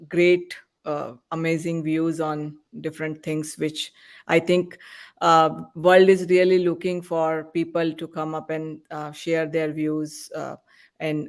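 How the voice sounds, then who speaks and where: A woman hosting a panel podcast, talking with many pauses and fillers. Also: deep voice, darkish tone. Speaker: podcast host. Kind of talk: casual panel chat.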